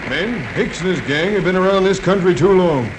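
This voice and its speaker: A man's voice: sits high, expressive, moderate pace. An actor playing a stern male sheriff who leads a posse, with firm and commanding delivery in 1930s film style. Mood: urgent. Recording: hissy 1930s film soundtrack.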